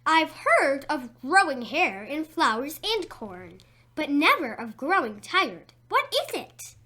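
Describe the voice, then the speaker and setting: A woman's voice: high voice, big pitch swings, bright, forceful tone, pitched above the performer's own voice, full character voice. A woman voicing a haughty living piece of furniture, in a storybook reading. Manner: haughty, slow and puzzled.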